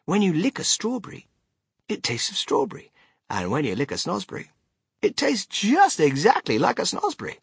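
A man voicing an excitable, eccentric, impatient chocolate-factory owner in a theatrical storybook reading. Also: high voice, creaky, husky, no echo, clean studio sound, voice pushed up, exaggerated voice. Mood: exuberant, impatient. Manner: theatrical, exclamatory, brisk and dismissive.

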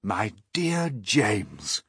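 A man's voice: on the low side, big pitch swings, extremely slow, fairly bright tone, slightly rough, no room sound, studio quality, pitched above the performer's own voice, full character voice. A man voicing a kindly, theatrical old green grasshopper with courtly, effusive manners in a storybook. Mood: affectionate, grand.

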